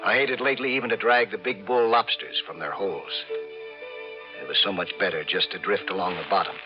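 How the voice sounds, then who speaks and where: A man's voice: deep voice, fairly quick, muffled recording, lightly acted. An actor playing a brooding, dreamy, obsessed skin diver who narrates his own story in an old radio suspense style, musing and dramatic, repeating phrases. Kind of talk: 1950s radio suspense acting, first-person narration. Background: dramatic music.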